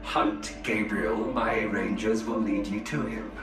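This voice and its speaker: An actor playing a composed, formal elf spymaster. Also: male voice, on the low side, fairly even intonation, takes it slowly, reverberant, distant mic, over music. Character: cool male elf spymaster, calm and formal.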